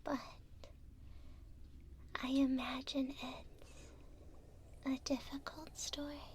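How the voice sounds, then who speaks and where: A woman playing a gentle, curious elf prisoner in an ASMR roleplay, speaking softly and hesitantly, close to a binaural microphone. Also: high voice, little pitch movement, slight background noise. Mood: shy, welcoming. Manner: slow, soft, hesitant with gentle pauses.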